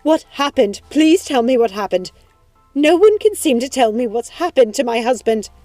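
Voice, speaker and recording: A woman's voice: on the high side, big pitch swings, moderate pace, a little mellow, no echo, faint music behind, pitched above the performer's own voice. A woman voicing a haughty, imperious aristocratic lady in an audiobook story. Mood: desperate. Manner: imperious, demanding.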